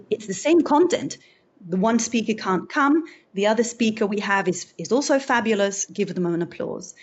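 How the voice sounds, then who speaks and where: A woman from Belgium, an event host who did not grow up speaking English, talks through her advice in a lively, conversational way. Her pitch sits in the middle of the range, and she acts out quotes as she goes. The recording sounds a little dull and lo-fi. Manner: animated, acts out quotes with restarts. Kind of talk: conversational presentation.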